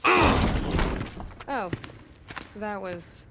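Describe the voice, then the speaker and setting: A woman's voice: mid-range voice, sing-song, extremely slow, noisy background. A woman playing a determined, inquisitive young heroine in a video game, with a direct, natural delivery. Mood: resolute. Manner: direct, assured.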